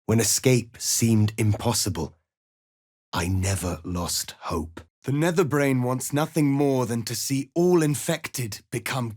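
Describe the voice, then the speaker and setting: A man playing a proud, stern alien warrior prince in a fantasy video game, speaking formally and gravely with commanding, measured delivery. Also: mid-range voice, no room sound, clean studio sound. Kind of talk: dramatic fantasy game dialogue.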